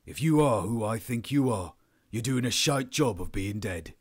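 A man's voice: fairly low pitch, lively intonation, moderate pace, husky. Man acting a defiant, snarling male prisoner. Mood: defiant, arrogant. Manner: insolent, snarling, swearing. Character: defiant, foul-mouthed Australian male prisoner, sullen soldier.

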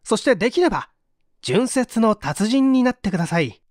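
A man narrates a book in a high voice with lively, swinging intonation. His delivery is scripted and explanatory, laid out clearly step by step. The recording is dry and close, with no room sound. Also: no room sound. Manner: measured, explanatory read-aloud with acted example lines. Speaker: audiobook narrator.

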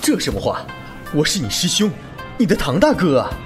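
A man's voice: fairly high pitch, huge pitch swings, a little fast, music in the background. A man playing an upright, earnest young swordsman of a noble clan in a Chinese wuxia audio drama, admonishing in manner. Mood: warm, earnest.

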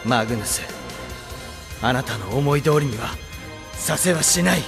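An actor playing a composed, formal servant who commands demons in a Japanese radio drama, polite but determined. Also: male voice, medium pitch, lively intonation, unhurried, vocal fry. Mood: defiant. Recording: drama with music behind.

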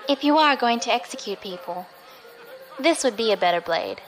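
Casting: actress for young, poised, dangerous heiress, audio drama, polite but pointed, slightly high, music underneath. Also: female voice, animated, normal speed, some chatter behind, close to an everyday voice, rough recording. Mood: coolly challenging. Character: poised, deadly young daughter of a feared father.

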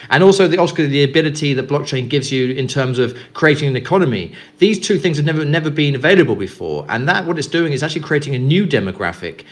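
A man from England, interviewed as a guest, answering in a loose chat with many fillers and repeated words. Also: mid-range voice. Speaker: guest, company representative. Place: Greece.

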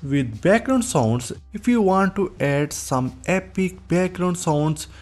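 A man's voice: sits high, expressive, slow pace. A man hosting a tech tutorial, reading scripted narration as a voice-over. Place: voice-over recording. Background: background music.

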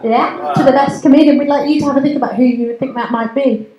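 A woman's voice: high-pitched, steady tone, fast, warm tone. An English woman acting as MC of a comedy night, chatty and bubbly, teasing the crowd. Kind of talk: live emcee banter. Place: community centre hall, live audience. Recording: echoey hall with audible noise.

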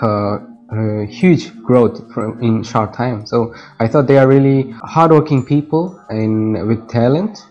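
A man's voice: mid-range voice, warm tone, dull audio. A young man from India, answering interview questions on a video call, hesitant with many fillers. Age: young adult. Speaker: interviewee, student.

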